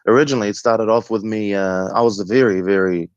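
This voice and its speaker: A man's voice: fairly low pitch, fast talker, clean tone. A man in his thirties from Egypt, speaking conversationally as a caller telling his own views and experience. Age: 30s. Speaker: caller to the show. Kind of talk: conversational call-in testimony. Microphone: remote call connection. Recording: muffled, echoey call-in line.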